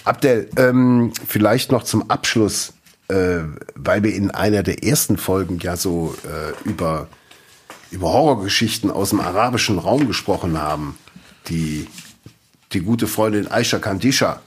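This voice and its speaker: A man co-hosting a podcast, relaxed and chatty, telling a folk horror story with lots of fillers. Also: sits low, a little slow, slight background noise. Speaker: podcast co-host. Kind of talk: casual chat.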